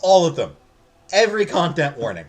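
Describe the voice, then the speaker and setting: Animated man live-streaming a story game. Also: fairly high pitch, a little fast. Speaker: streamer reading a visual novel aloud. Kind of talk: casual live-stream chat mixed with read-aloud narration.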